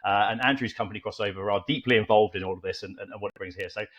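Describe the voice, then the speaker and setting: A man's voice: fairly low pitch, fairly even intonation, very fast, fairly bright tone. A man hosting a podcast, who introduces and questions his guest with many fillers and repeated words. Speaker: podcast host. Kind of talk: hosting an interview. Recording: dull lo-fi audio.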